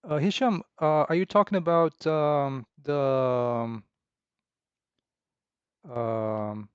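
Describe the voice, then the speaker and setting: A man in his thirties from Romania, chatting casually with livestream viewers in a halting, relaxed way full of fillers and restarts. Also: medium pitch, clean tone, dry recording. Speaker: indie game developer streaming. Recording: dull, slightly lo-fi dry sound.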